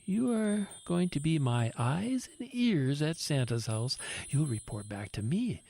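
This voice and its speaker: A man playing a sly, sinister hypnotist schemer in an audio drama, coaxing with drawn-out words. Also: a little high, lively intonation, creaky, warm tone, full character voice. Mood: hypnotic coaxing.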